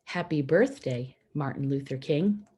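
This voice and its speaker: A woman reading a children's picture book aloud, phrasing it simply and deliberately with pauses for young listeners. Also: low voice, animated, warm tone, breathy edge. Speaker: storybook reader for schoolchildren.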